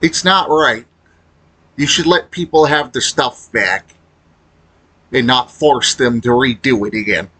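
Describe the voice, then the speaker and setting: Bright-voiced man in halting, blunt commentary. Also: mid-range voice, slightly rough. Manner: halting delivery, blunt complaining. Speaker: gaming video creator. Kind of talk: casual unscripted opinion commentary.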